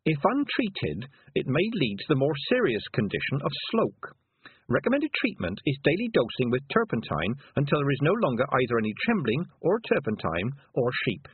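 An earnest, uncertain young witch-to-be performed by a male narrator speaks in a raised, plaintive voice. The tone is slightly husky, as in a storybook reading. The sound is narrow and phone-like. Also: mid-range voice, huge pitch swings, normal speed, dry recording, voice pushed up, full character voice. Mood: careful reading. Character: earnest, unsure young girl, a would-be witch.

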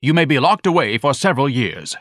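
Brief: male narrator voicing a pompous blowhard, lively swooping delivery, dry close sound. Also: mid-range voice, a little fast, no room sound, pitched above the performer's own voice. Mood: haughty. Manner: bombastic, grandiloquent, scolding. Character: pompous huge eccentric man, lofty and indignant.

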